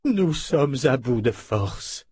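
A man voicing a frail old woman, a bitter and sharp-tongued abbess, in a drained breathy voice for an audiobook. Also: mid-range voice, expressive, takes it slowly, no room sound, studio quality. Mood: bitter, exhausted. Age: older.

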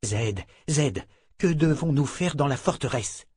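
A man's voice: medium pitch, lively intonation, a little slow, darkish tone, breathy edge, dry recording. Man playing an earnest, anxious young hero. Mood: anxious, gentle.

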